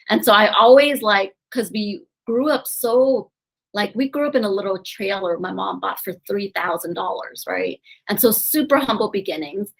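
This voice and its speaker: A woman guest on a podcast, telling her life story in a chatty, animated way and laughing often. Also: medium pitch, gravelly, poor audio. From grew up in New Orleans, USA. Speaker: podcast guest, eye doctor and real estate investor. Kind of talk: casual interview storytelling.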